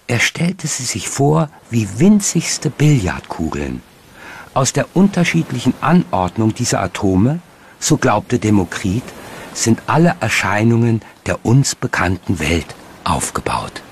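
A man narrating a documentary from a script in a measured, explanatory manner. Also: medium pitch, big pitch swings, a little hiss or hum.

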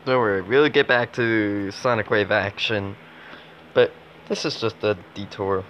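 A man chats casually while streaming a game, taking his time with each phrase. His talk comes in low-key fragments and mumbled asides. The audio is dull and lo-fi, with some noise behind him. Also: fairly even intonation, a little slow, fairly bright tone. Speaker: gaming streamer. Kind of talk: casual live gameplay commentary. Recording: dull lo-fi audio, noisy background.

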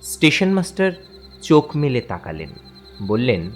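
A man's voice: mid-range voice, very animated, measured pace, faint music behind. A man narrating a scripted horror story as a storyteller, in a dramatic style.

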